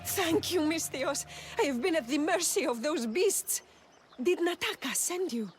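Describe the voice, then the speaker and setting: A wounded, distraught mother from a video game. Her voice is high and full of anguished pleading, with light music behind it. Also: animated, unhurried. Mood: weary relief. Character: distraught, pleading injured mother of a captured son. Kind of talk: video game cutscene acting.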